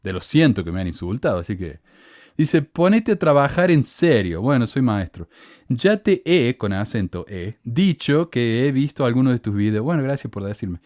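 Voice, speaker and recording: A man's voice: very animated, normal speed, darkish tone, no echo, clean studio sound. A middle-aged man with a Cordoba Argentine accent, hosting a podcast and reading out hostile comments with sarcastic indignation. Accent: Cordoba Argentine Spanish. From Córdoba, Argentina. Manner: indignant, sarcastic, rants with rising pitch. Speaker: podcast host.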